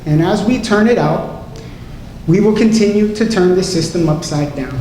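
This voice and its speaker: A woman doctor giving an impassioned political tribute speech with emphatic, deliberate phrasing and repetition. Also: lowish voice, dark tone. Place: large indoor hall, tribute event. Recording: very echoey hall, faint noise.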